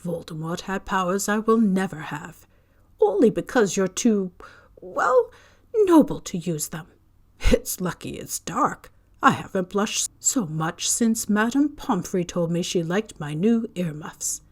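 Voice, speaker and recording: A woman voicing a calm, gently wry old male wizard headmaster in a storybook reading, speaking mildly and unhurriedly. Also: mid-range voice, measured pace.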